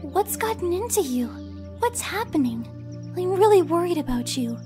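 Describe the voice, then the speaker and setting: Gentle female pony, tiny high girlish voice, soft, earnest, audio drama, faint music behind. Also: big pitch swings, normal speed. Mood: worried, gentle. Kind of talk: fan audio drama acting.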